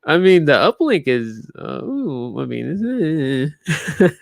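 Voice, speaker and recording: A man in his late thirties with a gravelly, fried edge, chatting loosely and tiredly to his live stream viewers from a small room in his new home. The recording is dry, with almost no room sound. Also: on the high side, big pitch swings, slow pace, dry recording. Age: 30s. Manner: rambling, loose, lots of fillers. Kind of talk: casual live stream chat.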